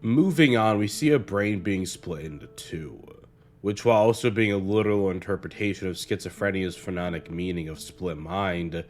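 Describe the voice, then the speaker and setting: A man narrating a scripted video essay in a measured, earnest way. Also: sits low. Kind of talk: scripted analytical narration of a horror series. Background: guitar music.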